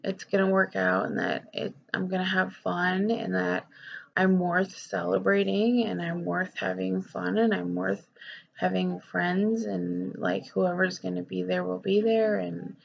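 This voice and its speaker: A woman's voice: fairly low pitch, flat delivery, measured pace, vocal fry, dull audio. A young woman talking candidly in a personal vlog, rambling with lots of fillers and swearing, her voice nasal and stuffed up from a cold. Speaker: vlogger.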